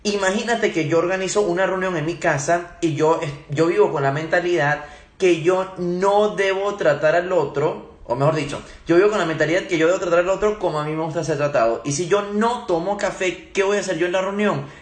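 A man's voice: high voice, a little fast. A man speaking as a motivational coach in a live Q&A, emphatic and instructive, repeating key phrases. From Venezuela, born in Germany. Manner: emphatic, didactic, repeats key phrases, rhetorical tag questions. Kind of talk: motivational talk, answering audience questions live. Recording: roomy, echoey livestream sound.

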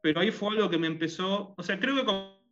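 A man's voice: sits high, fast talker, a bit bright, muffled recording, faint music behind. A man with a Rioplatense accent, a guest in a conversational interview, talking with many fillers, restarts and hesitations. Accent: Rioplatense Spanish. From Argentina and Uruguay.

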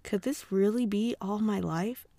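A woman's voice: mid-range voice, a little slow, mellow, dry recording. A woman voicing a wistful, easily flustered married former magical-girl warrior in an amateur manga dub.

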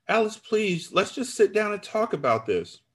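A man's voice: medium pitch, sing-song, moderate pace, voice pushed up. A man voicing a woman in an audiobook drama, pleading and placating as she talks to her friend. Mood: anxious pleading. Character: guilt-ridden woman narrator pleading with her angry friend.

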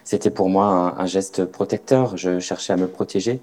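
Deep, mellow male interpreter. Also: fairly even intonation, brisk. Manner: repeats words while rendering speech on the fly. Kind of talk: consecutive interpreting.

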